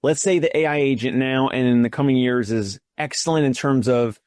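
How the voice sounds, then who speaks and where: A man's voice: mid-range voice, fairly quick, a little mellow, no echo, studio quality. A man hosting a casual interview, thinking aloud and building his points step by step. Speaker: show host.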